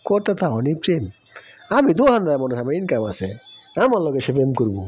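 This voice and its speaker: A man's voice: a little high, very animated, fast, darkish tone, slightly rough, no echo. A man voicing a talkative villager in a cartoon, speaking familiar rural Bangladeshi regional Bengali. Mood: grumbling. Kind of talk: cartoon dialogue in colloquial dialect. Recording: music behind the voice. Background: music.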